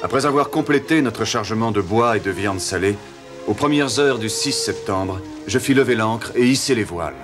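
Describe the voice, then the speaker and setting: A man playing a calm, confident male explorer and ship's admiral in a cartoon, speaking in a measured, assured way. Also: on the low side, natural voice. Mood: grave narration. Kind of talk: French animated history series dubbing, partly narrated. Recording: music behind.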